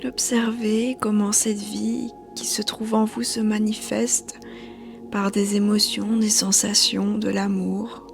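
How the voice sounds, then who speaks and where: Slow, hushed woman guiding meditation. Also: mid-range voice, level tone. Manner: soothing, hushed, breathy delivery. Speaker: yoga teacher. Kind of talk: guided meditation narration. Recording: soft background music. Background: quiet music.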